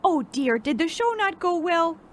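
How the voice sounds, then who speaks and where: A woman's voice: very high voice, animated, measured pace, a little hiss or hum. A woman playing a chipper, doting old-fashioned housewife in a radio play, coaxing and folksy. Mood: chipper concern.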